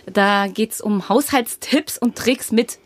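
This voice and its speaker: A woman's voice: mid-range voice, slow. A woman hosting an interview, asking chatty, encouraging questions. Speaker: interviewer, host. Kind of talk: casual interview.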